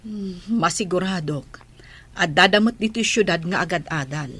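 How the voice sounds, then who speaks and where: A woman playing a gentle, curious, proud mother with a husky voice and Ilocano accent in a radio drama. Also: lowish voice, normal speed. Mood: curious, musing.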